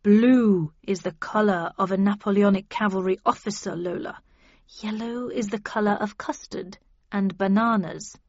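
Lady doing a grumpy fifties guy for an audiobook, growly n curt, slow measured talk, dry sound. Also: medium pitch, fairly even intonation, darkish tone, dry recording, muffled recording, lightly acted. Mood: curt, dismissive. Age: 50s. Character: gruff fifty-year-old deep-voiced measured man, grumpy and curt.

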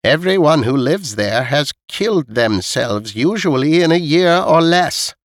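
Man voicing a high-pitched stern old woman. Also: lively intonation, a little slow, no echo, studio quality. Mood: grave, matter-of-fact. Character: stern elderly woman with a cane, blunt and knowing.

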